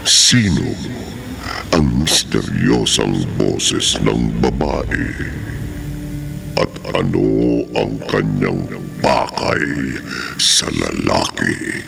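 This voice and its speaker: A man announcing a horror radio drama in a slow, ominous, theatrical style with drawn-out delivery, over music. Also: very deep voice, lively intonation, gravelly.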